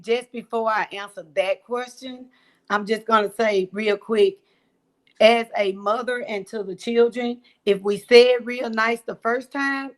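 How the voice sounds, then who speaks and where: Mother, panel guest, naturally loud, slightly high-pitched, eager. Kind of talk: church panel discussion.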